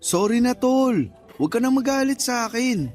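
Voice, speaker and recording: A man's voice: very high-pitched, animated, normal speed, darkish tone, soft background music. A man with a Filipino accent playing a teasing, nosy friend in his thirties in a radio drama. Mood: mock apologetic. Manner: casual, prodding.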